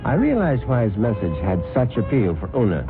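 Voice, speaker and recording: A man's voice: medium pitch, huge pitch swings, a little fast. An actor playing a wry husband who narrates his own story with a sardonic manner, in an old radio drama. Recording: phone-like old radio broadcast with loud music.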